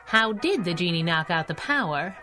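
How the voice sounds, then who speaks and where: A woman's voice: fairly low pitch, lively intonation, moderate pace, sharp tone, soft background music. A woman playing a sassy young crimefighting sidekick in a 1930s-style radio serial, breezy and wisecracking with period slang. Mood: brisk, cheeky.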